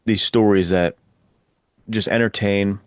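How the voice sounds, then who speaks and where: A man's voice: fairly low pitch, steady tone, measured pace, no echo, clean studio sound. A man hosting a book podcast, chatting casually and enthusiastically with informal, dude-ish slang. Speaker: podcast host, writer.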